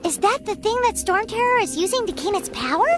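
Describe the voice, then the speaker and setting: A woman voicing a tiny, curious, excitable childlike fairy companion in an anime-style video game, squeaky and exclamatory. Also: fairly quick. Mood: excited. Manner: exclamatory, wide-eyed. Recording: game music behind the voice.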